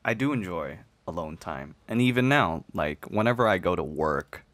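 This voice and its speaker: Deep-voiced young man, profane casual chatter. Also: animated, normal speed, a bit bright, no echo. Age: 19, turning twenty. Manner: profane, emphatic rambling. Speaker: podcast host. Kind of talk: casual chat.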